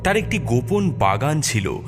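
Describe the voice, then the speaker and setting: Male storyteller over clear music. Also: medium pitch, big pitch swings, measured pace. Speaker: narrator. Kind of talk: scripted storybook narration. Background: background music.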